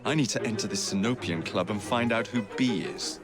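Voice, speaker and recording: A man's voice: on the low side, a little fast, natural voice. Man as a cocky young English rogue, music behind. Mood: irreverent. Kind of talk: video game cutscene acting.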